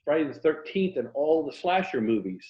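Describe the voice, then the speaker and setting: A man's voice: a little high, big pitch swings, normal speed. Echoey, slightly muffled American man, reflective. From Florida, USA. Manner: reflective, anecdotal, self-deprecating. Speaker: interview guest, fiction writer and former journalist. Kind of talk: casual interview conversation about writing. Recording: echoey room, slightly dull lo-fi audio.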